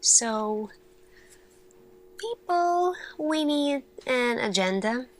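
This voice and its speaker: A woman performing an ASMR sci-fi roleplay as an earnest, thoughtful lead scientist, speaking deliberately with pauses. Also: high-pitched, expressive. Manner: slow, deliberate, pausing, questioning delivery. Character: earnest, thoughtful female lead scientist addressing her team.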